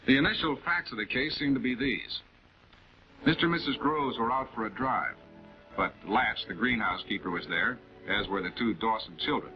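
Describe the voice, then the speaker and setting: A man's voice: mid-range voice, loud music behind the voice, lightly acted. A man playing a composed, affable public prosecutor in a late-1940s television crime drama, speaking in a polite, conversational way. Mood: genial, explaining. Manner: conversational, polite, measured questioning. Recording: dull, noisy 1940s film soundtrack.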